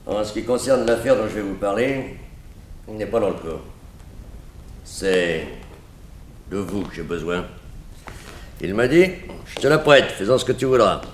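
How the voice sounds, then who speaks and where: An actor playing a calm, coercive and sardonic blackmailer in a 1960s French radio crime drama. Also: male voice, fairly low pitch, a little slow, pauses often, slight background noise. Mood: smooth, confiding. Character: menacing male blackmailer, smooth crook in haute couture.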